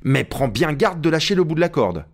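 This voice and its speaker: An excitable, bossy gentleman treasure hunter from a storybook, acted out by a male reader. He calls out orders impatiently in a raised, swooping voice, agitated and imperious. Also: on the high side, fairly quick, voice pushed up. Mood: eager, insistent.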